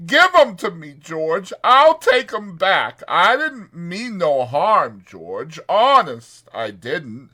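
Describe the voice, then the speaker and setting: A man's voice: sits high, very animated, takes it slowly, hardly any pauses, sharp tone, voice pushed up, full character voice. A man voicing a simple, childlike big strong man in a dramatized audiobook, breathless and pleading. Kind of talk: dramatized audiobook character acting.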